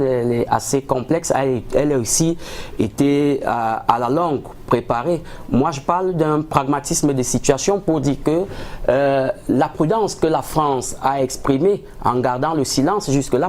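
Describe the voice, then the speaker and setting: A man answering as a political analyst in a formal, measured, somewhat hesitant way, dotted with rhetorical tags. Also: medium pitch, a little mellow. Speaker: political analyst, studio guest.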